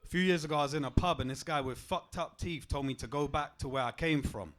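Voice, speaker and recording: A young man, doing a stand-up comedy set on a club stage with a deadpan, punchy delivery. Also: quick pace. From England. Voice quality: deep voice.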